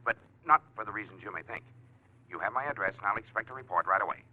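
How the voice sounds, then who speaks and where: An actor playing a curt, secretive, imperious client with a clipped, formal manner in 1940s radio drama style. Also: male voice, on the low side, quick pace, lightly acted. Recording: dry, tinny old radio recording.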